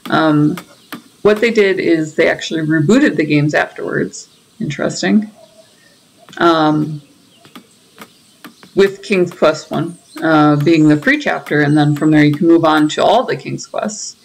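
A woman's voice: sits low, unhurried, vocal fry, poor audio. A woman hosting a gaming channel, giving chatty, explanatory commentary as she plays. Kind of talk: casual game commentary. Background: faint game music.

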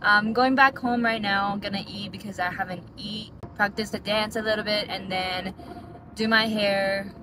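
A young woman from Japan, a native Japanese speaker, chatting casually to her phone camera, with many "like" fillers and quick self-corrections. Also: medium pitch, fairly even intonation, noisy background. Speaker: vlogger and pro dancer. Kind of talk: casual vlog chat to camera. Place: bathroom, then parked car.

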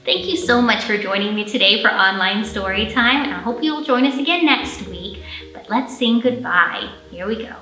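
A woman's voice: a little high, normal speed, sharp tone, faint music behind. A woman in her thirties hosting a children's storytime as a librarian, speaking expressively and directly to young children. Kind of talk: children's storytime reading and presenting. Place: indoor library room, pre-recorded for online storytime. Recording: roomy echo.

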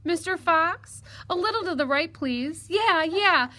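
A woman's voice: very high-pitched, expressive, unhurried, pushed and bright, voice pushed up, full character voice. A woman voicing a small young male piglet in a children's story, polite and cunning, pleading and coaxing. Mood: flattering, coaxing.

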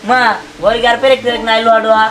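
A man's voice: very high-pitched, flat delivery, racing, noisy background. An actor playing a greedy, silly village boy in a comic skit, whining and pleading in an exaggerated way. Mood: pleading, stubborn. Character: greedy, silly village boy who loves eating iron. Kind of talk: low-budget comic skit acting. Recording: loud music behind the voice.

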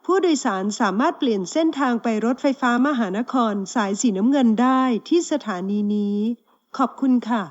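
A woman reading recorded train station announcements in a calm, even, measured delivery. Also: fairly high pitch, dull audio. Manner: slow, evenly spaced announcements in two languages.

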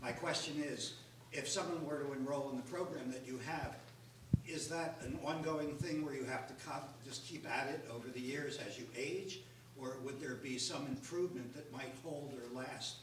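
A man speaks politely from the audience, formal and grateful. His voice comes from a distance in an echoey room, with clear background noise. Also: mid-range voice, moderate pace, fairly bright tone. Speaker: audience member asking a question.

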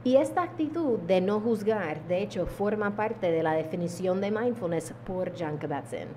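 A woman, calmly teaching a mindfulness lesson to camera, with deliberate pauses. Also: medium pitch, slight background noise. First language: English. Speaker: yoga teacher. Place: indoor room at home. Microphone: small handheld lavalier mic held close.

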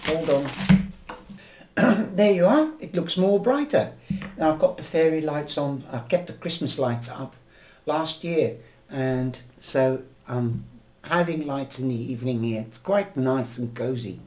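A woman's voice: deep voice, unhurried. A stuffy-nosed woman reading tarot. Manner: gentle, chatty, rambling. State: nasal from a cold. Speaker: tarot reader. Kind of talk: weekly horoscope tarot reading. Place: indoors at a table, camera over the cards.

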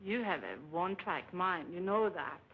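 A woman's voice: on the low side, expressive, moderate pace, creaky. An actress playing a patient, coaxing woman who talks to a ventriloquist's dummy in a film. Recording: dull, noisy film soundtrack.

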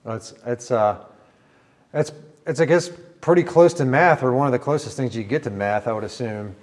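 A man's voice: medium pitch, normal speed. A middle-aged American man chatting casually as an interviewer, thinking aloud and rephrasing as he goes. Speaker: interviewer touring as visitor. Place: large indoor tower room. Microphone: clip-on mic on the chest.

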